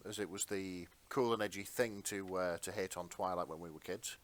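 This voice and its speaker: A man's voice: deep voice, fairly even intonation, moderate pace. A man co-hosting a podcast, chatting casually with wry jokes. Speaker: podcast co-host. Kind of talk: casual comedic banter.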